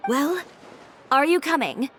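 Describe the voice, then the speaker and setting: A curt, high-voiced defiant young woman. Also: lively intonation, slow, fairly bright tone, a faint crowd, a little hiss or hum, heavily acted. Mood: brisk. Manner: curt, sardonic, self-assured. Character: cool, blunt, defiant young female troublemaker. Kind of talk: video game dialogue acting.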